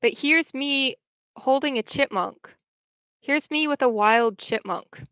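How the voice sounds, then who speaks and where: Young woman, casual livestream chat, rambling with restarts, smooth clear voice, dry close sound. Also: sits high, slow pace, a bit bright, dry recording. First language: English.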